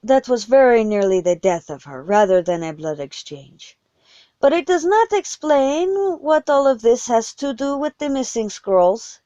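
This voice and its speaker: A woman voicing a commanding, deliberate male vampire leader in a fantasy story, with measured formal speech. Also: fairly high pitch, expressive, warm tone, smooth, no echo, highs cut off, voice pushed up. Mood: challenging.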